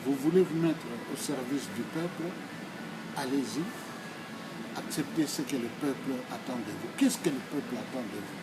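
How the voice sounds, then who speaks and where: A man in his sixties with a Congolese accent, giving formal answers in a deliberate, emphatic, oratorical way, phrase by phrase. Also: mid-range voice, very noisy. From Democratic Republic of the Congo. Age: 60s. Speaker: speaker answering questions.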